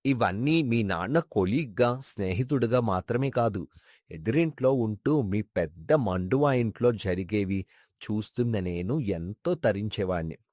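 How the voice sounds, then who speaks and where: A man's voice: lowish voice, animated, measured pace, darkish tone, dry recording, studio quality. A man playing a sincere, sentimental colleague and neighbour of a late father, earnestly reminiscing in a moral story. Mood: fond, reverent. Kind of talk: dramatized moral story acting.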